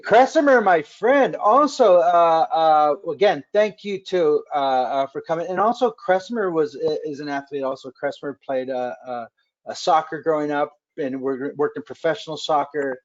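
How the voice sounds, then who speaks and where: A man hosting a webcast interview in casual chat, halting with many fillers and restarts. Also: on the high side. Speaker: webcast host. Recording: dull lo-fi webcast audio.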